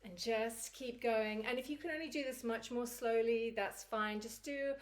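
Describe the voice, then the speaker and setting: A woman with a croaky, recovering voice teaching a Kundalini yoga routine in a chatty, encouraging way. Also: a little high, normal speed. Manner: chatty and encouraging, laughs easily. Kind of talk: guided yoga class instruction.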